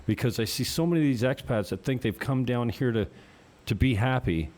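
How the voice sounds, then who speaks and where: Mellow, chatty Canadian-raised host. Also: male voice, mid-range voice, a little fast. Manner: chatty, rambling questions. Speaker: podcast host. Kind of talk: casual interview chat.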